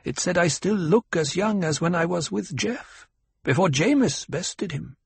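A man's voice: high-pitched, animated, measured pace, creaky, slight background noise, voice pushed up, exaggerated voice. A man voicing an anxious, proud tribeswoman who is no longer young, plaintive and emphatic, in an audiobook. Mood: wounded pride.

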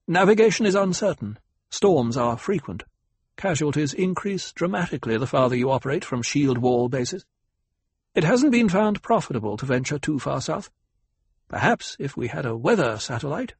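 A man's voice: on the high side, sing-song, moderate pace, husky, dry recording, pitched above the performer's own voice, full character voice. A man voicing an authoritative desert scientist.

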